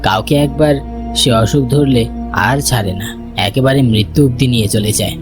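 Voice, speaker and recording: A man playing a homesick, worried village son, narrating a dramatized first-person story in an expressive, reflective way. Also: medium pitch, normal speed, over music, lightly acted. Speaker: narrator and main character.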